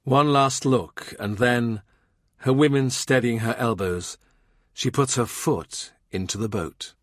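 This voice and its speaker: A male narrator reads literary historical fiction at a medium pitch. His phrasing is measured and deliberate. The recording is very dry and close. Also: no room sound. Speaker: audiobook narrator.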